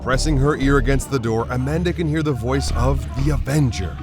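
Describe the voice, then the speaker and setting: A man's voice: medium pitch, fairly quick. Male radio drama narrator over music.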